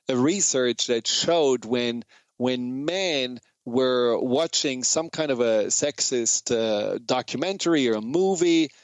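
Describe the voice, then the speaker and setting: A man's voice: mid-range voice, no room sound. A man from Germany with a German accent, earnestly hosting a radio talk show and often restarting his phrases as he searches for words. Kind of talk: reflective monologue on a talk show.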